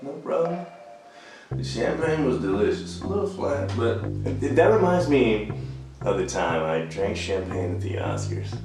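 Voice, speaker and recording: A man acts out a rambling, boastful homeless man in a radio thriller. He talks slowly and hesitantly, drifting between thoughts, in a heavily reverberant room with guitar music underneath. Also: mid-range voice, very animated. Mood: agreeable, rambling. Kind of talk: audio drama acting.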